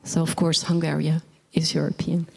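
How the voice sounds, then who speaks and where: A woman's voice: low-pitched, level tone, moderate pace, gravelly, darkish tone, slightly rough. A woman born in Pakistan and living in the Netherlands, hosting a public evening and giving earnest, plainspoken closing remarks. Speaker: event host. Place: lecture hall with an audience. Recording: hall reverb, crowd in the room.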